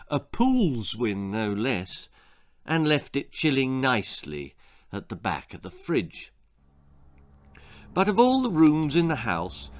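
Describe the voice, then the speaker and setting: British man storytelling with very animated delivery. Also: mid-range voice, dry recording. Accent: British English. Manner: dramatic, relishing words, with deliberate pauses. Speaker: author reading own story. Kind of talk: theatrical children's storytelling.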